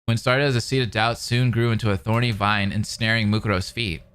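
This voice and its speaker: A man's voice: fairly low pitch, steady tone, brisk, a bit bright, clean tone. A man hosting a reaction video, reading visual novel narration aloud with casual, slangy commentary. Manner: informal, slangy asides.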